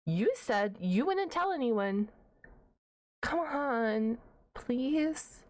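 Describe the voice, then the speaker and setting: A woman's voice: fairly high pitch, lively intonation, very slow. A woman voicing a shy, nerdy, flustered half-dragon librarian in an ASMR roleplay, hesitant and rambling with awkward humour. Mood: nervous, pleading.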